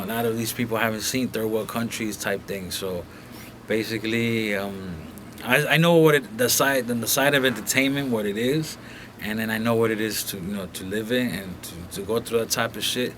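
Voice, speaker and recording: A man's voice: fairly low pitch, noisy background. A young man from Colombia talking casually and bluntly as an interview guest in a recording studio, peppering his speech with profanity and filler phrases.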